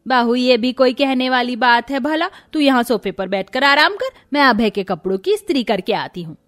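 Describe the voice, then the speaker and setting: A greedy, fawning Indian mother-in-law from a cartoon moral tale, acted out by a woman. Her voice is high and swings widely in pitch as she fusses dramatically. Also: expressive, normal speed, a bit bright, faint music behind. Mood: anxious, fawning. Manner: dramatic, fussing. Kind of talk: cartoon moral story acting.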